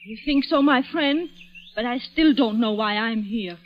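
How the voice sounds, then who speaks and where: A woman's voice: fairly high pitch, unhurried, lightly acted. A woman with a French accent playing a coy, questioning young Frenchwoman in old-time radio acting. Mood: puzzled. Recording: muffled old radio recording.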